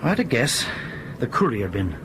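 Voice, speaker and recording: A man acting in a radio drama as a brisk, suspicious airline captain with a clipped, authoritative manner. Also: mid-range voice, sing-song, noisy background. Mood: brisk, impatient.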